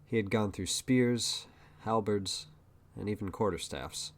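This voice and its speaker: A man's voice: on the low side, a little slow. A man reading scripted narration for an audio drama. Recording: guitar music behind the voice.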